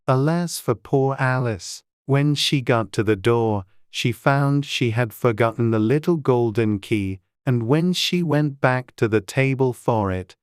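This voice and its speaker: Slow, smooth-voiced man narrating a storybook. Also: mid-range voice, no room sound, clean studio sound. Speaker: audiobook narrator.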